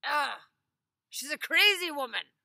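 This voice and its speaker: A woman reading a calm, sly peasant husband. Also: a little high, slow, dry recording, clean studio sound, full character voice. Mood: dismissive. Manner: calm, matter-of-fact.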